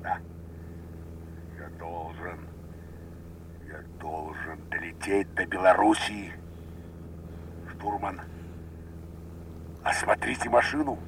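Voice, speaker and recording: An actor playing a wounded, blinded bomber commander in a war radio drama, giving halting, strained orders while fighting pain. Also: male voice, low-pitched, steady tone. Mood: weak, pained. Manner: strained, halting commands, swinging from cries to whispers. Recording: noisy radio play with engine hum.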